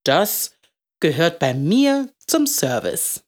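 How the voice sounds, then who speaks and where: A woman playing an old shopkeeper man, kindly, low, very unhurried, gently ceremonious, playful, storybook character. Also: sing-song. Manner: gentle, ceremonious, drawn-out questioning.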